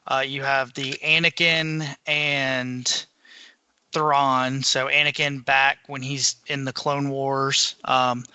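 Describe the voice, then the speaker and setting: A man's voice: medium pitch, pushed and bright, smooth, dry recording. A man co-hosting a podcast, giving a casual, conversational recap of books. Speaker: podcast co-host.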